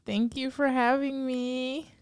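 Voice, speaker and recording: A young woman from the Bahamas answers interview questions casually, chatty and peppered with likes and ums. Her voice is very crisp and clear, recorded close and dry. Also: high-pitched, little pitch movement, slow pace, dry recording. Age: young adult. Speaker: interview guest.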